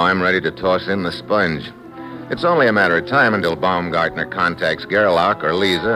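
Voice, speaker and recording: A man's voice: deep voice, rough recording. An American man playing a tough, wisecracking secret agent in 1950s radio acting, with a wry, hard-boiled manner. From United States. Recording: old radio broadcast with music behind.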